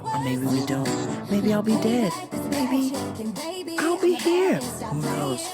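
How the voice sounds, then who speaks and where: Solo female podcast host, hoarse and worn out, brash and jokey, swoops all over in pitch, music underneath. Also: huge pitch swings, slow pace. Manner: brash, profane, joking, exclamatory. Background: background music.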